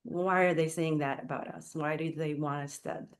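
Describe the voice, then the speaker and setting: A woman's voice: deep voice, steady tone, normal speed. Earnest woman guest on talk radio. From Israel. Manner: earnest, uses many fillers like "like" and "you know". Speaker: guest, playwright and performer. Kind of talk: radio interview. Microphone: studio microphone.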